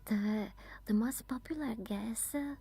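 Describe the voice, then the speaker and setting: Breathy whispering Italian woman. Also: on the high side, slow pace. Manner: soft whispering. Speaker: ASMR streamer. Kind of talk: whispered ASMR live stream, chatting with viewers.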